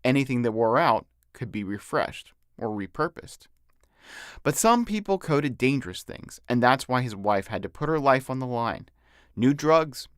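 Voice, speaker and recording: A man's voice: mid-range voice, a bit bright, dry recording, clean studio sound. A man reading his own novel as scripted audiobook narration.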